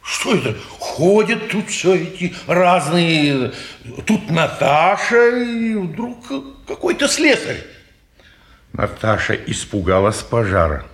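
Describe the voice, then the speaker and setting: An actor playing a pompous, grumbling old householder in a Russian stage drama, halting and condescending. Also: male voice, on the high side, very animated. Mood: suspicious, curt. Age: older.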